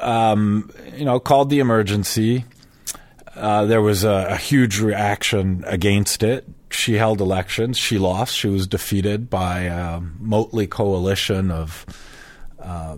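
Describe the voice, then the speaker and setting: Man, from Switzerland, very low voice, thoughtful, explanatory, dry sound. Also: no room sound. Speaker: sociologist guest. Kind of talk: interview answers.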